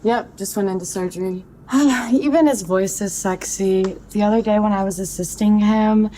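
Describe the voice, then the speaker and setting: A smitten nurse played by a woman in an audio drama, breezy and cheeky. Her mellow, mid-pitched voice sits over faint ambient noise. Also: normal speed, lightly acted.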